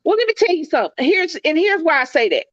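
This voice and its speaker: A congested woman talks earnestly in a casual chat, circling back over her own phrases as she reflects. Her voice sits high. The sound is dry and a little dull, with a lo-fi edge. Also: big pitch swings, fast, dry recording. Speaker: co-host.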